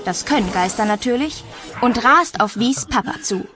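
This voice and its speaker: A woman playing a lively, conspiratorial storyteller, narrating expressively for child listeners in an audio drama. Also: fairly high pitch, normal speed, faint music behind. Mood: storytelling.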